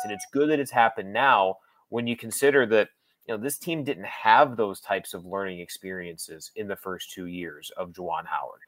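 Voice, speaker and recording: A man's voice: fairly low pitch, a bit bright, dry recording. A man working as a sports broadcaster, giving conversational analysis as a guest, explaining and qualifying his points with emphasis.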